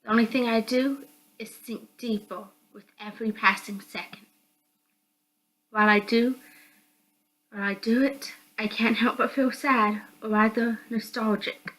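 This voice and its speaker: A woman's voice: a little high, steady tone, a little slow, a bit bright, low-quality recording. A woman reading a visual novel game aloud as dramatic narration. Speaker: host of a visual novel read-through. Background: background music.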